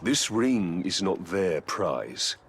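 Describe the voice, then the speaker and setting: An actor playing a grim, resolute human ranger in a video game, speaking tersely and dryly. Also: male voice, low voice, big pitch swings, slow, fairly bright tone, a little hiss or hum, exaggerated voice. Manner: terse, dry, determined. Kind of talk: dramatic video game dialogue.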